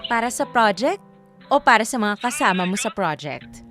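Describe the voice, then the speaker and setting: A woman's voice: fairly high pitch, sing-song, moderate pace, a bit bright, soft background music. A woman playing a jealous, moody girlfriend in a Filipino audio drama, sarcastic and accusing in mixed Tagalog and English. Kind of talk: Filipino radio-style drama acting.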